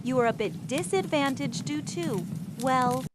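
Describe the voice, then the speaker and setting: A woman's voice: high-pitched, expressive, a little slow. Woman as old male cop, stern, weary, grave instructor, storybook dialogue, noticeable noise behind. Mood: patient, instructing. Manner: grave, instructing, clipped warnings.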